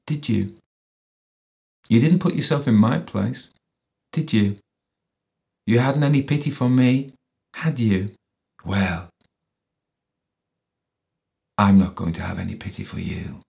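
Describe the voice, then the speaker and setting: Man acting sneering, gravelly ex-policeman villain. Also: on the low side, slow pace, long pauses, mellow, pitched above the performer's own voice. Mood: bitter, rising. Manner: sneering, taunting, with sudden rises and whines. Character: menacing male ex-policeman villain, crafty, bitter and self-pitying.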